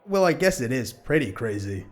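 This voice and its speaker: A man playing a sardonic teenage high-school boy in an audio drama, sarcastic, slangy and foul-mouthed. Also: big pitch swings, moderate pace, lightly acted. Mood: wry.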